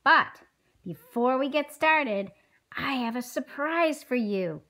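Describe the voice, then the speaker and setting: A woman's voice: sits high, expressive, slow, bright, forceful tone, full character voice. A woman voicing an eager, friendly fifth-grade schoolgirl in a scripted children's puppet show, explaining things enthusiastically. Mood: cheerful.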